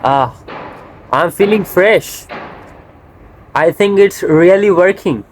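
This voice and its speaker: A young man from India with an Indian English accent, whose first language is Hindi, narrating a casual vlog in simple English with many pauses and fillers. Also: high voice, a little hiss or hum. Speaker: vlogger. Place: outdoors in a busy industrial area and market.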